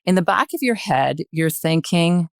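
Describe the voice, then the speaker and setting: A woman's voice: lowish voice, lively intonation, creaky, dry recording, studio quality. An older woman in a panel conversation, speaking emphatically and often repeating phrases to make her point. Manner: emphatic, halting repetition of phrases. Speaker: podcast guest, retired journalist.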